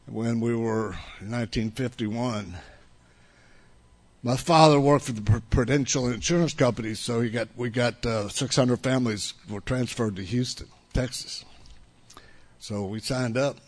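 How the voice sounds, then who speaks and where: A man's voice: medium pitch, a little slow. A man in his seventies, whose first language was Italian, telling the story of his recovery to an audience in a blunt, rambling way, with frequent restarts. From United States. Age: 70s. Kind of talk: personal testimony to an audience.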